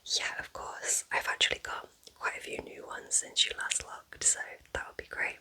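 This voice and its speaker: A woman playing a kind, cosy forest witch in a whispered ASMR roleplay, breathy, gentle and chatty. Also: moderate pace. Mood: gentle, welcoming. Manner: gentle, soothing, chatty, affectionate.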